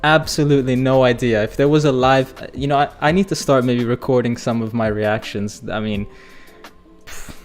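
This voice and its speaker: A man hosting a live football fan stream, chatty and excitable, hoarse and losing his voice as he reads viewer comments. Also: mid-range voice, moderate pace, soft background music. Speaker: football channel host.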